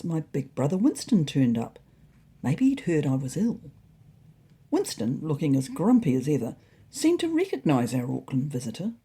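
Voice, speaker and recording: A woman's voice: low voice, mellow, husky. A woman narrating a scripted first-person story in a wry, dry manner.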